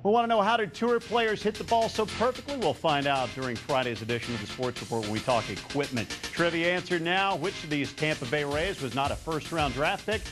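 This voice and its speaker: An animated male sports anchor delivers scripted news with florid, polished phrasing. His pitch swings widely, and faint music plays behind him. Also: a little fast, hardly any pauses. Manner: florid, alliterative broadcast delivery.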